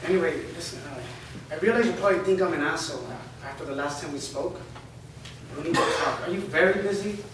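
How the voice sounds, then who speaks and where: A man's voice: fairly high pitch, quick pace, close to an everyday voice. A man reading the part of an earnest, reassuring young man in a staged play reading, with a casual, easygoing delivery. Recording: echoey room, far mic, hiss.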